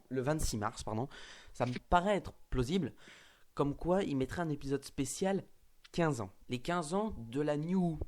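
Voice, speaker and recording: Male host, mid pitch, slightly congested, casual and hesitant. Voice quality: hesitant, many fillers and restarts. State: has a cold. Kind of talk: casual fan discussion.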